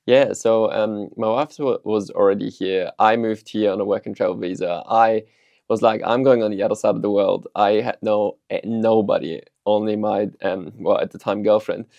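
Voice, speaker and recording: A man in his thirties, originally from Austria, answering interview questions in a relaxed storytelling way with frequent ums and restarts. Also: lowish voice, moderate pace, gravelly, no room sound, muffled recording. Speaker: startup CEO, guest.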